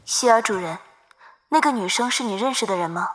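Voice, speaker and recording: A woman voicing a dutiful, literal-minded guardian spirit in an animated drama, polite and system-like in phrasing. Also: medium pitch, fairly quick, no room sound, soft background music, lightly acted. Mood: curious. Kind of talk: animated audio drama acting.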